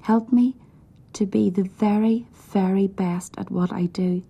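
A woman softly whispering a prayer, hushed and pleading. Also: medium pitch, gravelly, breathy edge. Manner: hushed, pleading, slow and even.

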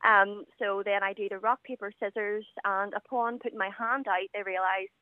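A woman's voice: mid-range voice, steady tone, normal speed, dry recording. Chatty northern irish girl, early twenties, on a phone line, sounds tinny and dry. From Northern Ireland. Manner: confident, chatty, many fillers. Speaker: guest caller. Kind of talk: casual radio interview over the phone. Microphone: telephone.

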